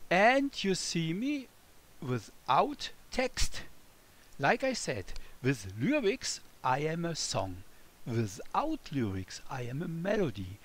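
A man from Germany with a German accent, teaching a children's music lesson in simple, deliberate phrases and playfully addressing the listener. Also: a little high, wildly swooping pitch. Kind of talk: scripted children's music lesson, speaking as a melody.

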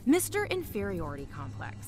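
An actress playing a cocky, sarcastic young soldier in a video game, full of snarky taunts. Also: female voice, mid-range voice, huge pitch swings, a little fast, over music. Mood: cocky, taunting. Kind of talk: video game fight intro dialogue.

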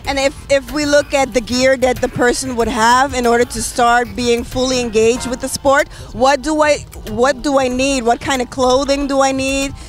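A young woman with a very high voice asks curious, carefully built questions into a handheld mic. She stands outdoors at a race venue, with faint music behind her. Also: steady tone, normal speed, hardly any pauses. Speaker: sports show interviewer. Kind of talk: outdoor event interview.

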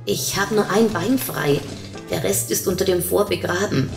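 A woman's voice: on the low side, normal speed, creaky. Woman performing a scatterbrained stoned wife, teasing, exclaiming, audio story, echoing room, music faintly behind. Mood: grumbling. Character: cheeky stoned young newlywed woman, scatterbrained mathematician. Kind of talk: audio short-story dialogue. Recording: roomy echo, faint music.

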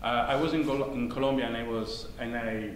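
A man's voice: medium pitch, fairly even intonation. Italian-accented man on an echoey stage. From Italy. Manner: thoughtful, halting, many restarts, gesturing. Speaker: panelist, conflict negotiator. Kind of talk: onstage discussion. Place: theater stage, seated. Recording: echoey stage with faint noise.

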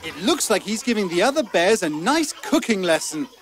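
A man's voice: high voice, big pitch swings, normal speed, fairly bright tone, slight background noise. A man playing a cheery, mock-kindly British narrator of a children's storybook video game, theatrical and sweetly condescending. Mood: delighted wonder. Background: music.

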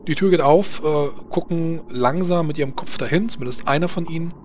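A man's voice: fairly high pitch, a little fast, darkish tone. A man running a tabletop role-playing game as game master, narrating scenes and rulings to his players. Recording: noisy background with room ambience.

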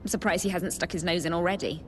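A woman's voice: on the low side, fairly even intonation, fast, slight background noise, natural voice. An actress playing a jaded female celebrity in a video game, dry, sarcastic and dismissive. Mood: guarded. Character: jaded, self-absorbed female celebrity, sceptical and curt. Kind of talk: video game cutscene acting.

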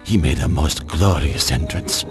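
An actor playing a solemn, reverent prophet, narrating portentously in a video game. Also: male voice, extremely low pitch, a little slow, over loud music. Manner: portentous, dramatic storytelling.

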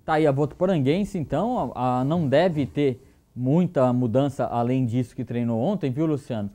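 A man's voice: medium pitch, moderate pace, warm tone. A man in his thirties, a sports presenter, giving a casual conversational report from a TV studio on a lapel mic. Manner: chatty, conversational.

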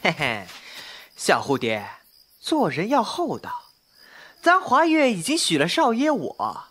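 A woman's voice: mid-range voice, huge pitch swings, unhurried, a bit bright, husky, heavily acted. A woman playing a cheeky, boastful young man in a Chinese wuxia audio drama, with playful, teasing delivery.

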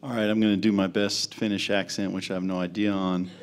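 A man's voice: deep voice, little pitch movement, fairly quick, smooth, pitched below the performer's own voice, lightly acted. A man putting on a mock Finnish accent to read out a question at a panel, heard from the floor. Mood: joking. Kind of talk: reading questions aloud at a panel.

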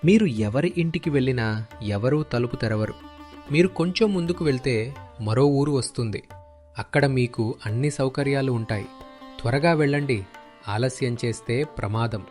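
A man voices a guarded villager for an animated folk story. His pitch is average and his manner is firm and cautioning. Soft music runs behind him. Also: normal speed, lightly acted. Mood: urgent warning. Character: wary, unseen villager refusing help behind closed door. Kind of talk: animated moral story voice acting.

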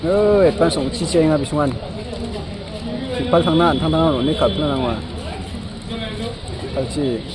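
A man casually commentating a football match from the sideline outdoors, shouting encouragement and laughing often. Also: on the high side, measured pace, long pauses, rough recording. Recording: crowd noise at an outdoor match. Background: crowd.

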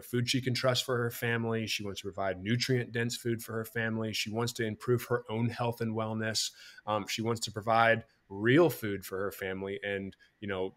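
A man's voice: lowish voice, a bit bright. A man in his thirties hosting a farm marketing podcast over a video call, explaining ideas step by step and acting out what customers think. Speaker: podcast host. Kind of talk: teaching podcast talk. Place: bright home room.

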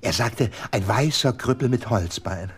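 A man's voice: on the low side, expressive, a little slow. An actor playing a nervous, eccentric, hypochondriac little man in a radio drama, with theatrical, jittery exclamations. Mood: nervous, confiding. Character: nervous, eccentric hypochondriac little bald Englishman, easily flustered.

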